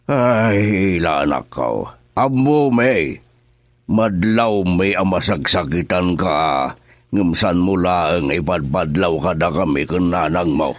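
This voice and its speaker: A man's voice: deep voice, animated, slow, no room sound. An actor playing a worried, stern middle-aged father in a radio drama, talking earnestly and scolding. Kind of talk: Ilocano radio drama acting.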